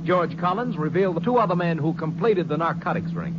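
A man's voice: on the high side, brisk. A man working as a radio announcer, reading formal scripted narration in 1950s broadcast style. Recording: very noisy, muffled old radio recording.

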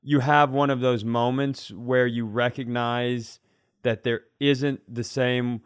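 A man with a clean, resonant voice tells a casual comic story as a podcast host. The recording is dry and close, and he re-enacts moments in an animated, self-mocking way. His pitch sits in the middle. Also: slow, smooth, no room sound.

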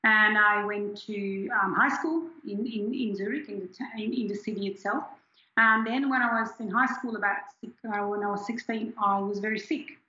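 A woman's voice: mid-range voice, steady tone, moderate pace, pushed and bright, roomy sound, dull audio. A woman in her forties from Switzerland, reflectively telling her story as an interview guest with many restarts. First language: German. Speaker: scientist guest. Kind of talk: candid personal interview.

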